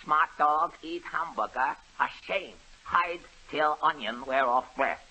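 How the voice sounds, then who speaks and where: A man's voice: on the high side, measured pace, pushed and bright. A man playing a bumbling detective in a 1930s radio comedy who speaks in clipped broken sentences and chuckles at his own puns. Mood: pompous. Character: bumbling male pidgin-talking detective Longpan. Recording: old noisy radio broadcast.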